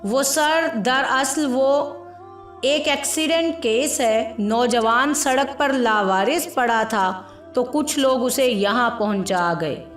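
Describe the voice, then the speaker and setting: A timid hospital assistant from a moral tale , played by a woman narrator. He speaks slowly, hesitant and deferential, in a high voice with echo around it. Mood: nervous, apologetic. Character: flustered, timid male hospital assistant, apologetic. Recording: roomy echo with music behind.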